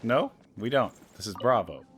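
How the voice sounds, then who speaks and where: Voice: medium pitch, expressive, moderate pace. A let's player giving casual reaction commentary, amused and musing aloud.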